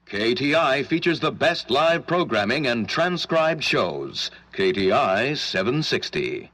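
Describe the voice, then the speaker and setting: A man's voice: mid-range voice, big pitch swings, takes it slowly, fairly bright tone, full character voice. A man reading 1940s radio station promos in emphatic, punchy phrases.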